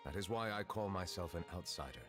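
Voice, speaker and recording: A very deep, measured male voice playing an ancient dragon turned judge, formal and solemn in every word. Loud music runs under him in the game. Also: lively intonation.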